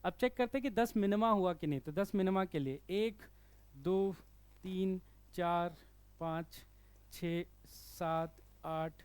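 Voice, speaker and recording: A man's voice: sits high, slow pace, darkish tone, clean tone, dry recording. A man with an Indian accent teaching physics, working through a problem step by step and often checking in with the listener. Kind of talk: explanatory problem-solving lesson.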